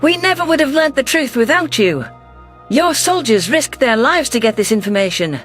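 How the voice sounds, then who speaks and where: A man's voice: very high-pitched, big pitch swings, normal speed, a bit bright, faint music behind, exaggerated voice. A man voicing an emotional female alien governor, narrating a science fiction audiobook in an earnest, formal, dramatic way. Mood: earnest, grateful.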